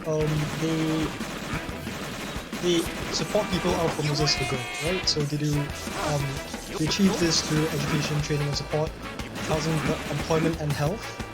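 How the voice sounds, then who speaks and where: A man informally hosting a live charity stream, speaking in a halting, hesitant, unscripted way. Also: fairly high pitch, level tone. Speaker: marathon host reading donations and messages. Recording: loud game music behind the voice. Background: loud video game music.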